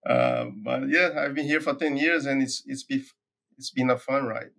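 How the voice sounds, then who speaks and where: A middle-aged man with a Brazilian accent speaks in an easygoing, conversational way, explaining his work as a researcher. His voice sits at an ordinary pitch, and his speech is full of small pauses, fillers and restarts as he gathers his thoughts. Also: steady tone, gravelly, a bit bright. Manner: thoughtful, many "uh" fillers and repeated words. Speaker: forage agronomy professor, guest. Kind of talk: conversational interview answers.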